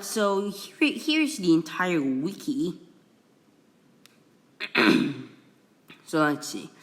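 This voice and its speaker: Young man from Indonesia, English, slightly high voice, halting, full of restarts. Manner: halting, many restarts and apologies. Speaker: video creator. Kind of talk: casual screen-recorded explainer reading from a wiki.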